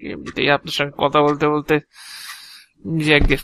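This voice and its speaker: A man playing a sly, fussy, talkative agent of death in an audio drama, unhurried and theatrical, given to muttering. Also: a little high, completely flat delivery, vocal fry, a bit bright, smooth. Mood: busy, muttering. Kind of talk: Bengali audio drama acting.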